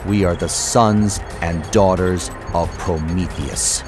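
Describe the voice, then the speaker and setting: An actor playing a brooding cyborg fighter pilot, narrating his war in a solemn, measured, intense way. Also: low-pitched, fairly even intonation, over loud music. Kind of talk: dramatic scripted narration, audio drama.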